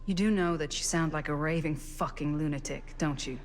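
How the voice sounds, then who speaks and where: A woman playing a tough, skeptical, sharp-tongued character in a video game, in a naturalistic, sardonic way. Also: low-pitched, fairly quick, lightly acted. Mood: confrontational, accusing. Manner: blunt, sardonic.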